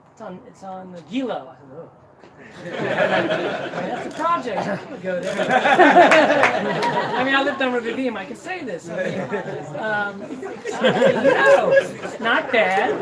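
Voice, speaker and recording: A middle-aged man from Ohio telling a self-deprecating, joking personal story to a crowd. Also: high voice. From Cincinnati, Ohio, US. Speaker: speaker at a celebration. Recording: distant mic, noisy hall.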